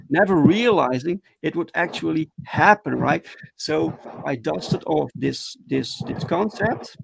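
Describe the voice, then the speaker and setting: A man from the Netherlands with a Dutch accent, a comic book creator chatting as a guest on a video call, halting with many ums and restarts. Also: a little high, vocal fry, a little hiss or hum, low-quality recording. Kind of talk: casual interview chat.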